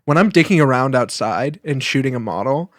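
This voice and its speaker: A young man co-hosting a podcast, chatting casually in an animated, joking way. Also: mid-range voice, fairly quick, dry recording, studio quality. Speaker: podcast co-host. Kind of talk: casual chat.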